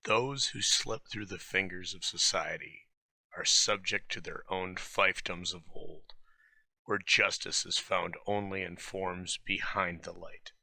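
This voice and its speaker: A low male presenter's voice, extremely crisp-edged and bright, with a creaky rasp. He reads a scripted introduction slowly and theatrically, recorded dry and close. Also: fairly even intonation, dry recording, poor audio. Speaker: show presenter.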